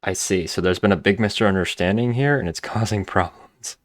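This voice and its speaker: A man's voice: low voice, moderate pace, no echo. A man doing casual game commentary, informally musing aloud as he plays. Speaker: gaming video host. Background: faint game music.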